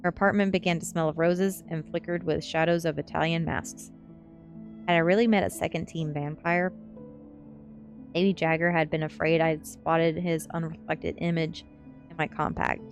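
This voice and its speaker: Low-voiced woman reading a story aloud. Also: level tone, normal speed. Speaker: streamer reading a novel aloud. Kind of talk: storybook narration, ASMR-style read-aloud. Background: faint background music.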